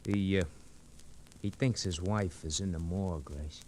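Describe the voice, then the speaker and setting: An actor playing a pushy but loyal cab driver sidekick, brash and slangy, in 1940s radio drama style. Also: male voice, deep voice, measured pace, darkish tone. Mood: earnest, urging. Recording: slightly noisy vintage radio recording.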